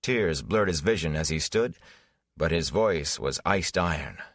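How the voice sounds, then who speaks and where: A man narrating a fantasy audiobook in a solemn, measured, dramatic style. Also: rumbling low, lively intonation, fairly bright tone, no room sound, clean studio sound.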